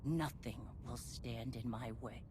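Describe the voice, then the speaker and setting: A woman's voice: very deep voice, unhurried, background noise. A woman playing a proud, tough warrior leader in a video game cutscene, speaking in a commanding, terse and resolute manner. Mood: grim resolve. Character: proud, tough female Mandalorian warrior leader, wounded but defiant.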